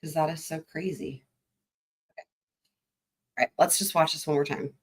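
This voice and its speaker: A woman hosting a live stream, chatting excitedly and directly to her viewers about courtroom clips. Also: low voice, moderate pace, breathy edge. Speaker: livestream host. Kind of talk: casual live commentary.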